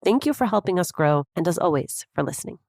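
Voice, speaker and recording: A woman speaking as a podcast host and interviewer, in a clearly phrased presenting style on a close microphone. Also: on the low side, lively intonation, fast, darkish tone, no echo. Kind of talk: scripted podcast narration and interviewing.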